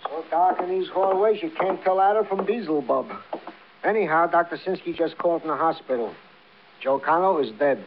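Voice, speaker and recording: Man doing a high-pitched colorful American cop. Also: moderate pace, lightly acted. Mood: colorful, urgent. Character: high-voiced, colorful male police colleague calling out. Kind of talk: old-time radio comic-relief character acting. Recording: muffled, noisy vintage radio broadcast.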